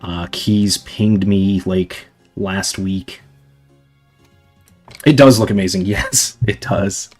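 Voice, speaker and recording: A young man chatting casually to his viewers on a livestream, rambling with lots of fillers and a voice going slightly hoarse from talking a long time. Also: sits low, steady tone, slow, darkish tone, faint music behind. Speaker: livestreamer answering chat.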